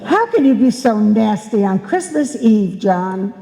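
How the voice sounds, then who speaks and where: A woman's voice: mid-range voice, very animated, measured pace, creaky, warm tone. A woman playing a nagging, fussing wife in a live old-time radio comedy, with theatrical scolding exclamations. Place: indoor stage before a live audience. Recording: live stage performance in reverberant hall.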